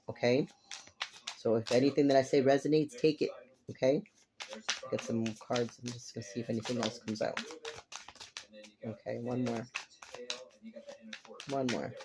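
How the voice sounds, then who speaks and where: A man with a raspy voice giving a rambling, blunt tarot reading at a table, without a dedicated microphone. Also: mid-range voice, noisy background. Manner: rambling and blunt, with casual swearing. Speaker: tarot reader. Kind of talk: casual tarot reading to camera. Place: indoors at a table covered with cards.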